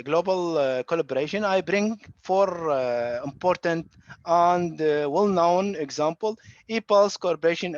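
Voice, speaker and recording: A man from Iraq giving an online academic lecture, halting speech with frequent fillers and restarts. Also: high voice, no room sound, dull audio. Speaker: guest lecturer. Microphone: computer mic on video call.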